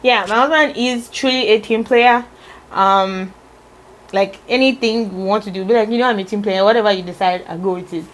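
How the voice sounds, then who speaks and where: A woman vlogger reading out tag questions in a casual, teasing chat with her husband. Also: mid-range voice. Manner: playful, teasing, drawn-out musing.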